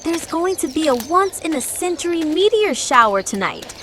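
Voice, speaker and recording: Woman playing an excited, high-pitched young sweetheart. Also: normal speed, slight background noise, full character voice. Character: excited young woman, half of a romantic couple.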